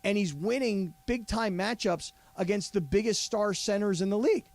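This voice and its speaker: High-voiced gravelly guy cohosting sports radio, dry close studio mic, keeps cutting in. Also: moderate pace, no room sound. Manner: animated, interrupts, repeats himself. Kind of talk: sports talk radio banter.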